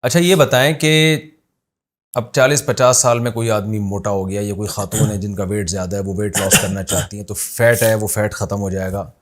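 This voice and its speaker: A man's voice: fairly low pitch, steady tone, normal speed. Joking man hosting a podcast. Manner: jokey, affirming with frequent "ji". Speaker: podcast host. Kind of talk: interviewing, casual chat.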